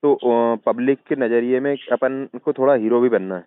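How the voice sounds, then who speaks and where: A man's voice: medium pitch, steady tone, moderate pace, clear, resonant tone, no echo. Male, central Indian Hindi accent, persuasive explainer who keeps seeking agreement, heard on a tinny recorded phone call. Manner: persuasive, checks agreement with frequent tag questions.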